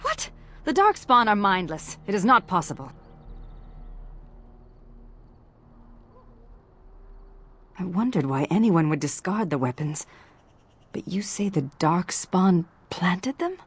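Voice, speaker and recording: A fierce elf with a husky, breathy voice that swings widely in pitch. She is bitter, vengeful and defiant, as in a fantasy game cutscene. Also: very animated, moderate pace. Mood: shaken, bitter. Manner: defiant, intense. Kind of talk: video game character acting.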